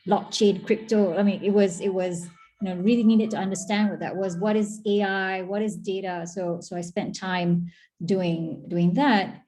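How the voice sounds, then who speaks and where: Mellow-voiced Malaysian woman, chatty. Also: medium pitch, steady tone, normal speed, rough recording. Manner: chatty, many restarts and fillers. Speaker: guest, former tech agency chief. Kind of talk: conversational interview answers.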